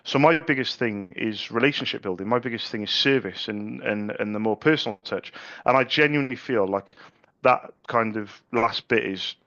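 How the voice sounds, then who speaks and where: A middle-aged man chatting on a sales call at an ordinary mid pitch. He talks freely, doubling words and restarting his sentences. The recording sounds dull and lo-fi. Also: moderate pace, fairly bright tone, no room sound. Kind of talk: casual sales phone call.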